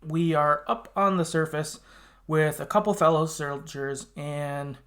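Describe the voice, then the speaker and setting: A man's voice: a little high, a little slow, a bit bright. A man hosting a casual gaming podcast, talking informally and often qualifying what he says. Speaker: podcast host.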